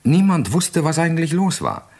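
An actor playing a suave, evasive gentleman secret agent in a radio crime drama, with an urbane and unflustered way of talking. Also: on the high side, sing-song, moderate pace. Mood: guarded, explaining.

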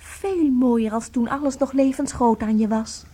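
A woman acting in a radio play as an adoring, excitable wife, cooing and theatrical. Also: a little high, a little slow. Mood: awed, adoring. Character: adoring, gushing wife, tender and excitable, babying her tiny husband.

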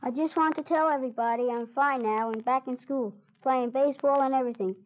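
A woman's voice: high voice, fairly even intonation, a little fast, close to an everyday voice. A woman playing a cheerful, grateful young schoolboy in a mid-century radio broadcast. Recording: dull, lo-fi old radio recording.

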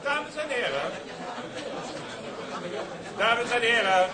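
An actor playing a pompous, jovial middle-aged consul, giving a florid ceremonial speech in a period drama. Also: male voice, very high voice, sing-song, slow pace, a loud crowd, heavily acted. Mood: formal, welcoming. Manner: bombastic, florid oratory. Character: pompous, jovial colonial consul making a grand toast.

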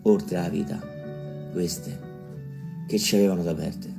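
Bawdy rustic narrator, deep, colloquial, declaiming, radio theatre, music behind. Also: male voice, steady tone, measured pace, creaky, full character voice. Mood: bawdy relish. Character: earthy male village storyteller, bawdy and wry.

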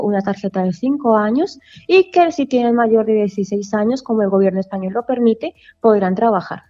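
A woman's voice: medium pitch, darkish tone, muffled recording. Woman lawyer explaining immigration rules. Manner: explanatory, with many asides and self-corrections. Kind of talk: explaining legal steps in a radio interview. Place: office in Valencia, Spain.

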